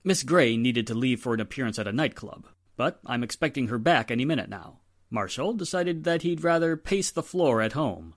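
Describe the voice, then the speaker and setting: A very low, dry-sounding police inspector, acted by a woman in a forties radio play. He is earnest and methodical, setting out matters with steady authority. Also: moderate pace, no echo. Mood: explanatory. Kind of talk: 1940s radio drama acting.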